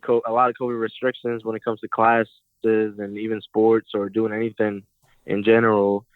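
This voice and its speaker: A man's voice: lowish voice, moderate pace, no room sound. Young man on a tinny phone line. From Puerto Rico. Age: young adult. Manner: relaxed, casual, uses fillers like "you know". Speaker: guest, college football player. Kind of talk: phone interview.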